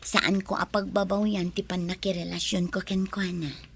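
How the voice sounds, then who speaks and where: A woman playing a kind, devoted young bride-to-be in a radio drama, with a breathy, creaky voice. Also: lowish voice, level tone, normal speed. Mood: respectful, warm.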